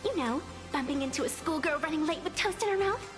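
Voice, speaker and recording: A woman's voice: sits high, animated, fast talker. A woman playing a mischievous, theatrical young girl trickster in an anime-style game, playful and melodramatic. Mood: sheepish, playful. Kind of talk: video game character dialogue. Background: game music.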